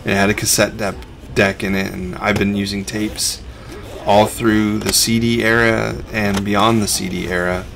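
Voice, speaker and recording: A man's voice: fairly low pitch, little pitch movement, moderate pace, creaky, background noise. A middle-aged man, a reseller who hosts a vlog, narrating over his footage in a laid-back, conversational way.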